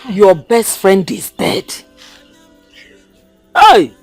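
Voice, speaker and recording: A woman's voice: medium pitch, sing-song, extremely slow, full character voice. An actress with a Nigerian accent playing a middle-aged mother, consoling and indignant, in a screen drama. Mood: shocked, indignant. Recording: music under the dialogue.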